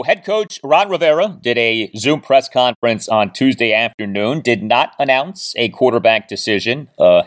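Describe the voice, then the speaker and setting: A man hosting a sports podcast, interviewing with an emphatic, announcer-like delivery. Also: on the low side. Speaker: podcast host.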